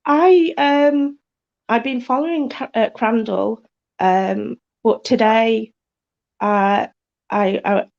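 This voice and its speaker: British female caller, casual and halting, some vocal fry, muffled lo-fi audio. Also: a little high, steady tone, a little mellow. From United Kingdom. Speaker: caller to a live show. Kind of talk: casual chat. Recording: dull, lo-fi call audio.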